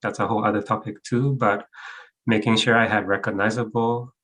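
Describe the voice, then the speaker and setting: A man's voice: on the low side, little pitch movement, a little fast, a bit bright. A European man with a hard-to-place mixed English accent, speaking candidly as a guest on an online panel. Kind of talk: casual panel discussion. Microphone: video call.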